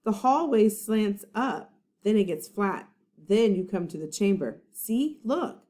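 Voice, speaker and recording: A woman's voice: medium pitch, animated, slow, noisy background. Storybook role: woman as careful, bookish boy, hesitant and explanatory. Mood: explaining. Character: cautious, bookish young boy, sensible and wary. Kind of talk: children's storybook read-aloud.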